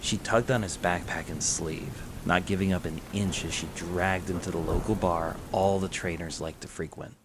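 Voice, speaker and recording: A man's voice: low voice, fairly even intonation, moderate pace, hardly any pauses, background noise. A man reading scripted fan fiction as the narrator, telling the story in prose.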